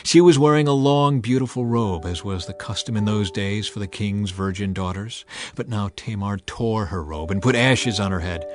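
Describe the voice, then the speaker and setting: Expressive male storyteller over music. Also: lowish voice, moderate pace. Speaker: audiobook narrator. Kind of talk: dramatized scripted Bible narration.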